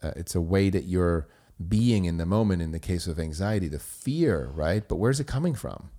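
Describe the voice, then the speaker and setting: A man's voice: deep voice, expressive, normal speed, dark tone. A man who grew up in Switzerland with German as his first language, hosting a casual podcast conversation and tossing in rhetorical questions. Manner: chatty, asks rhetorical questions. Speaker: podcast host.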